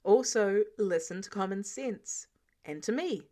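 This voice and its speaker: A woman's voice: mid-range voice, expressive, a little slow, dark tone, lightly acted. A woman voicing a stern, protective man who lays down rules in a sarcastic, bossy way for a story reading. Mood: firm, protective. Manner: sarcastic, bossy, clipped commands. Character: stern, exasperated, protective adult man, mentor laying down rules.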